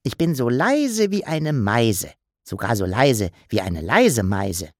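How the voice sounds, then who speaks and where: A man's voice: mid-range voice, sing-song, slow pace, no echo, clean studio sound. A man voicing a playful, mischievous childlike creature in an audiobook, with a bouncy, teasing way of talking. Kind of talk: audiobook character voice.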